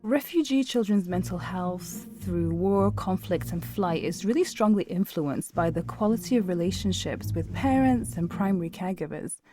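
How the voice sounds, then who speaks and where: A woman's voice: lowish voice, lively intonation, moderate pace, hardly any pauses, music in the background. A middle-aged woman, a psychologist, speaking reflectively as she is interviewed. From Syria.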